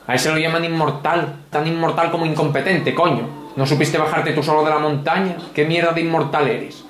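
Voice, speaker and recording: A man's voice: on the high side, fairly even intonation, fairly quick, a bit bright, echoey room, a little hiss or hum. A man, giving sardonic, grumbling, mock-pompous gameplay commentary from a home room. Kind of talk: sarcastic ranting game commentary.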